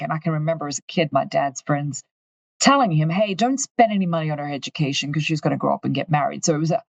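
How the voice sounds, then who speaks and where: An Australian woman from far north Queensland, a finance executive telling her story in a candid, lively interview. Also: sits low, no echo, dull audio. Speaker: guest, company finance chief. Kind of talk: conversational interview.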